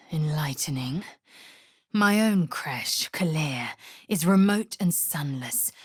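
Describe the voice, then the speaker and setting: A woman's voice: sits low, slightly rough, no echo, clean studio sound, full character voice. A woman playing a proud, stern githyanki warrior in a video game, with clipped, imperious delivery and formal, archaic phrasing. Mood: brooding. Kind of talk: video game character voice acting.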